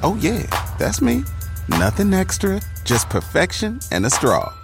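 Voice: medium pitch, huge pitch swings, measured pace, creaky, over music. Breezy, swaggering ad voice. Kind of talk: upbeat commercial read.

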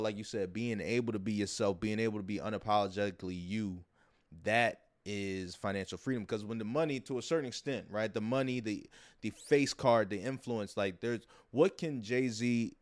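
A man hosting a podcast in a casual conversational style, talking in a long-winded way that circles back and repeats words. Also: on the low side. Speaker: podcast host.

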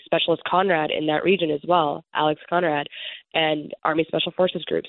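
A woman from Somalia, a congressional candidate, giving earnest, measured answers as an interview guest over the phone. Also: low voice, gravelly, dry recording. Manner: earnest, measured, political talking points. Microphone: phone call. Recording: tinny phone line, dry.

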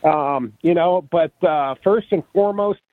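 A man's voice: a little high, no room sound. Male caller on a tinny phone line. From Ohio. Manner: rambling, friendly, halting. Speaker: radio caller. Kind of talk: phone-in chat.

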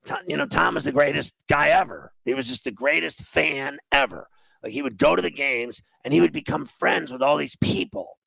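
A man's voice: a little high, animated, normal speed, sharp tone, no room sound, poor audio. A man, a radio host telling a long rambling, self-mocking story in a grating voice. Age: 50s. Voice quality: gravelly, grating voice. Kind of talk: storytelling monologue on a radio show.

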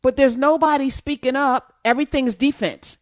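A woman hosting a casual political chat, talking in an animated, emphatic and teasing way. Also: high-pitched, normal speed, no room sound, studio quality. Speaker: host.

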